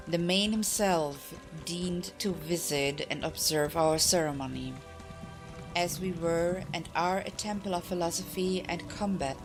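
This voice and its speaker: A woman audiobook reader narrating a fantasy tale from a script. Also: on the low side. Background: music.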